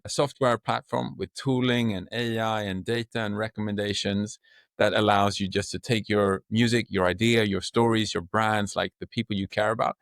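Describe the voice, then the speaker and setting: A man's voice: on the low side, dry recording, studio quality. A middle-aged man from Sweden with a Swedish accent, a podcast guest speaking expansively and in vivid images. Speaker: guest, company co-founder. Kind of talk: podcast interview.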